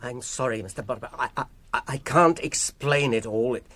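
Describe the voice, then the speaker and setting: A British actor playing an earnest, wary hobbit gentleman in a fantasy radio drama, well-spoken, polite and hesitant. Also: mid-range voice, normal speed, natural voice. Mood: uneasy, earnest.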